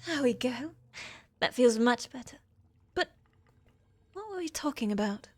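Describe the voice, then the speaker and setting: A young woman playing a shy, obedient witch's apprentice in a fantasy ASMR roleplay, meek, hesitant and soft-spoken. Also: sits high, lively intonation, a little slow. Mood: dazed, compliant. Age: young adult. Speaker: voice actor in audio roleplay.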